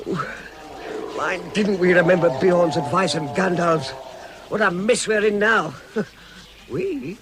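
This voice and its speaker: An actor playing a timid, fretful hobbit in a radio fantasy drama, stammering and talking to himself. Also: male voice, high voice, measured pace. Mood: lost, anxious. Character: timid, fretful hobbit finding sudden courage. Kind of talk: full-cast radio fantasy acting.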